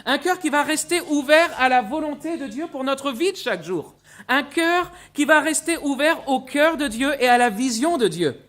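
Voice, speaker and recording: Earnest French pastor, halting delivery. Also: male voice, very high voice, lively intonation, fairly bright tone. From Brittany, France. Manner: halting, repeats words, earnest. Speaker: guest pastor. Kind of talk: sermon with personal testimony. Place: church sanctuary with mezzanine.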